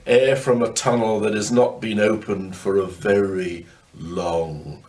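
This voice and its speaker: An older man reading a children's ghost story aloud in a wry way, with dramatic pauses. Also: lowish voice, fairly even intonation, a little mellow. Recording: distant microphone.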